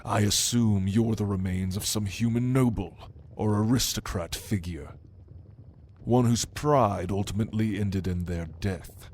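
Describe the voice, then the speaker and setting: A low, very slow demon voiced by a man in an ASMR roleplay, haughty and menacing. He addresses the listener with deliberate, dryly teasing intimidation, over clearly audible background ambience. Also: darkish tone, slight background noise. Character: menacing male demon, prince's right hand, haughty and hungry.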